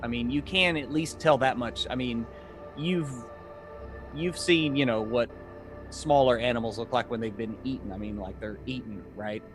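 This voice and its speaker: Man, game master, conversational, halting explanations, improvised scene narration, background music. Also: mid-range voice.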